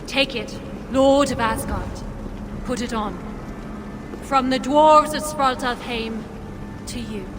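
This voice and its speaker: A woman's voice: fairly high pitch, takes it slowly, long pauses. An actress playing an earnest, firm dwarf woman in a fantasy video game, solemn and no-nonsense. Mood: ceremonious. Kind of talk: video game cutscene acting. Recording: noisy ambience, long reverb.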